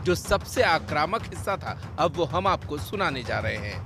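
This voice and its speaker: A man's voice: a little high, big pitch swings, normal speed, vocal fry. A man reading a scripted television news report as a voice-over. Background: music under the voice-over.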